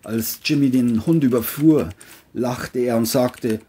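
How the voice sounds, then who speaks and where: A man's voice: mid-range voice, slow pace, mellow, husky. A man reading scripted narration for an audio crime story, as its narrator.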